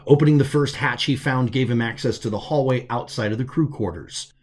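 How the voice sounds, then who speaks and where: A man reads scripted science fiction narration as an author, in a measured way with dramatic pauses. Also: medium pitch, darkish tone. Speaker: author narrating own story.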